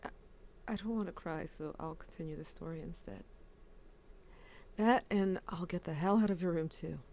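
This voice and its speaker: A woman's voice: fairly low pitch, moderate pace, mellow, slight background noise, close to an everyday voice. A woman voicing a grieving teenage boy who writes to his dead brother, wry and bitter, with a subdued tone. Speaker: narrator. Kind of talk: audio drama monologue.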